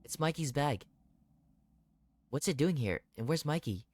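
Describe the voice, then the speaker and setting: A man's voice: fairly high pitch, animated, unhurried, noisy background, voice pushed up. A man voicing an anxious, earnest young protagonist in a horror visual novel. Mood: nervous, worried. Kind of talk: dramatic game character reading.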